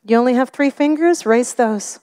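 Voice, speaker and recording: A woman preaching a sermon with an expressive delivery that often drops to a whisper. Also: high-pitched, moderate pace, smooth.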